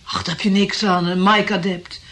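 A woman's voice: medium pitch, little pitch movement, moderate pace, very noisy, close to an everyday voice. An actress playing a scornful, vengeful woman scheming in a radio drama, conspiratorial and contemptuous.

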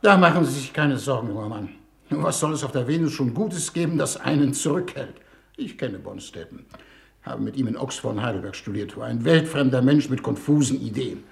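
An actor in a 1950s German radio play, playing a shrewd, suave, condescending foreign minister. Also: male voice, medium pitch, animated, a little fast, vocal fry. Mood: dismissive. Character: shrewd, breezy, unflappable male foreign minister.

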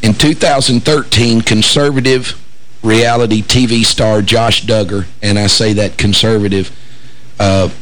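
A man hosting a radio talk show, hoarse and raspy from shouting, joking wryly and stumbling into fillers and restarts. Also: on the low side, steady tone, measured pace, poor audio. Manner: wry, joking asides, frequent fillers and restarts. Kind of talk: casual talk radio banter.

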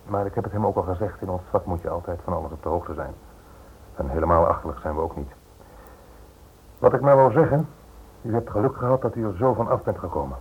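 Measured, courteous police inspector. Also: male voice, lowish voice, breathy edge. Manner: measured, politely firm. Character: courteous, warning Scotland Yard police inspector. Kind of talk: radio play acting. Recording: tinny, noisy old radio play recording.

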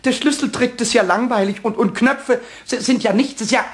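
A man voicing a childlike, mischievous little kobold in a German radio play, squeaky and excitable, repeating words in stuttering bursts. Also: fairly quick. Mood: cheeky, defiant. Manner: stuttering excited repetitions, giggly.

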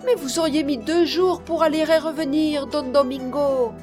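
A woman voicing a willful, bossy but warm-hearted eight-year-old girl in an audiobook, insistent and exclaiming. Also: sits high, fairly even intonation, moderate pace, over music, pitched above the performer's own voice, natural voice. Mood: eager, bossy. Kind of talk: audiobook character voice.

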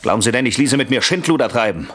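An actor playing a betrayed husband out for revenge in a German radio thriller, with a polite, mocking and commanding manner. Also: male voice, medium pitch, lively intonation, fast, slightly rough. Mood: coolly menacing. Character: coolly menacing betrayed husband plotting revenge on rival.

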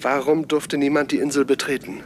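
An actor playing an agitated, suspicious investigator in a 1970s German radio play, halting and repeating himself as he presses his questions. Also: medium pitch, level tone, a little hiss or hum, close to an everyday voice. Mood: bitter, insistent. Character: agitated, suspicious male investigator demanding the truth.

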